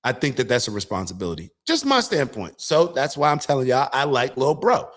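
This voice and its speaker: Man host, casual political talk, earnest, restarts his sentences, mid-pitched voice. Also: very animated, fairly quick, a bit bright. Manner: earnest, restarts sentences, addresses viewers as y'all. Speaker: show host.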